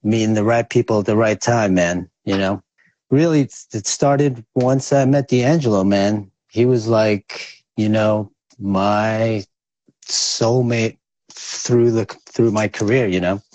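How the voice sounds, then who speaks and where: A man's voice: lowish voice, slow, darkish tone, no echo, low-quality recording. A man from the Philippines, raised in New York, talks as a guest in a casual interview in a humble, laid-back way. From born in the Philippines, raised in New York. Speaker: guest, recording engineer.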